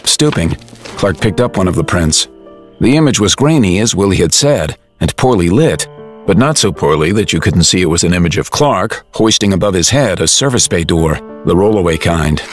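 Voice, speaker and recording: A man's voice: deep voice, expressive, moderate pace, soft background music. A man reading scripted narration for a dramatized audiobook, as storyteller.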